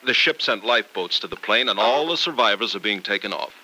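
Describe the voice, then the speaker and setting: An actor playing a concerned, steady male friend bringing news in an old-time radio soap opera, on a noisy vintage recording. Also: on the low side, a little fast, heavily acted. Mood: earnest, reassuring.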